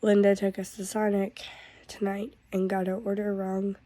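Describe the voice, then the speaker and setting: A woman's voice: medium pitch, level tone, normal speed, mellow. A woman vlogger who is sick, talking to the camera in a weary, casual way. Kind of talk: casual vlog talk.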